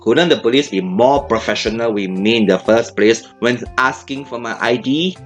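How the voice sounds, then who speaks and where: A man rants in an indignant, sarcastic commentary. His voice sits at an ordinary middle pitch. Faint music plays beneath the voice-over. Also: moderate pace. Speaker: commentary host. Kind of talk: opinionated video commentary.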